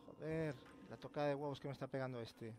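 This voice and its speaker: A man's voice: mid-range voice, fairly even intonation, quick pace, slight background noise. A man with a Castilian accent from Spain gives casual, sweary horror game commentary, muttering to himself. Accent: Castilian Spanish from Spain. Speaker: gamer, host of a let's play series. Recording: loud game ambience throughout. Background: loud horror game ambience.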